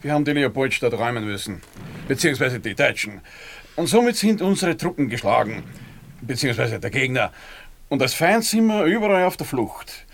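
An actor playing a muddled turncoat security inspector, officious and forever correcting himself, in a radio play. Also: male voice, medium pitch, very animated, brisk, creaky, a little hiss or hum. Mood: flustered announcing. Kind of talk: German-language radio play acting.

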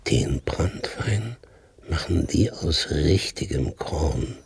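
An older man playing a poor, aging farmhand in a radio drama, a loving and dreamy father with a husky voice. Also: a bass voice, lively intonation, slow pace, darkish tone, full character voice. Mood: wistful enthusiasm. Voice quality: husky, gravelly.

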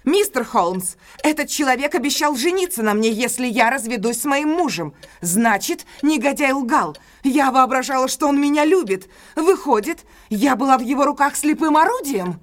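Proud wronged woman with a higher voice. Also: animated, normal speed, few pauses. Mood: bitter, hurt. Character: wronged, proud woman, betrayed by her lover, resolute. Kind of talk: audio drama acting, classic detective story.

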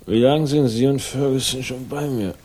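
An actor playing a battered, wry crime-story hero in a dry, terse, ironic manner, in 1960s radio drama style. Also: male voice, medium pitch, steady tone, moderate pace, darkish tone, natural voice.

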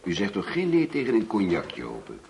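A man's voice: fairly low pitch, wildly swooping pitch, a little fast, creaky, darkish tone, slight background noise, full character voice. An actor playing a middle-aged father in a Dutch radio play, hospitable but bitter, probing and repeating himself when agitated. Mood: hospitable, jovial.

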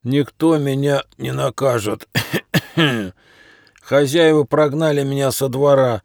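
A man voicing an old, hungry, bitter stray dog, grumbling plaintively in halting, wheezy phrases broken by coughs. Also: mid-range voice, vocal fry, darkish tone, dry recording, studio quality, voice pushed up.

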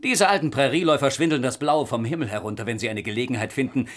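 An actor playing a pompous, self-assured cavalry captain with flowery courtesy in a German radio play. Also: male voice, medium pitch, fast talker, a bit bright, close to an everyday voice. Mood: haughty.

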